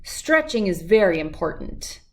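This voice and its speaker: A woman's voice: on the low side, big pitch swings, unhurried. A woman voicing a young storybook boy who is eager and boastful, covering his nerves with earnest excuses. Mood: nervous, stalling. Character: young boy, eager, bragging to hide nervousness.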